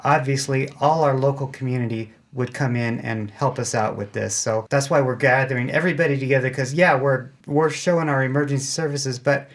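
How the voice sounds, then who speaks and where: A man's voice: mid-range voice, fairly even intonation, a little fast, hardly any pauses. A man with a mid-range voice talks as a guest on an interview show. He explains casually and rambles a little, often restarting a sentence halfway through. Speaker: podcast guest, amateur radio club spokesman.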